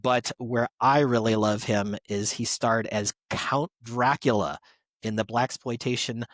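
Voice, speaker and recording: A man's voice: mid-range voice, fairly even intonation, a little slow, fairly bright tone, no echo. A man hosting a podcast, reading prepared tributes in an announcer-like way with an enthusiastic fan's touch. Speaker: podcast host. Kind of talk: reading birthday tributes to actors, semi-scripted podcast segment.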